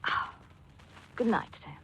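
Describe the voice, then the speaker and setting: An actress playing a detective's brisk, teasing secretary in a 1940s radio drama. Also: female voice, bright, forceful tone. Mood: pert, teasing. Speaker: supporting actress. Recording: dull vintage radio recording.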